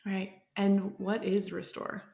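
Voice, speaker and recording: A woman's voice: medium pitch, completely flat delivery, slow, vocal fry, a little mellow. A woman hosting a podcast, reading an upbeat scripted introduction and then interviewing her guest. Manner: upbeat, enthusiastic. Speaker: podcast host.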